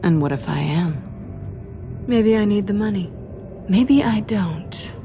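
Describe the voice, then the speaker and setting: A woman's voice: medium pitch, animated, slow pace, music in the background. A woman playing a cool, self-assured female thief in a video game, with a dry, teasing, guarded way of talking. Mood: guarded, defiant. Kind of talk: naturalistic video game acting.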